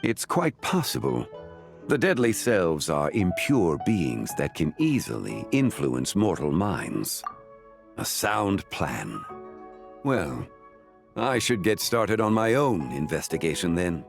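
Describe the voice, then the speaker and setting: A man playing a calm, learned ancient sage in a fantasy video game, speaking formally and deliberately in measured phrases. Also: gravelly, over music, full character voice. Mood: composed, measured. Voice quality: deep, measured. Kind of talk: scripted video game dialogue.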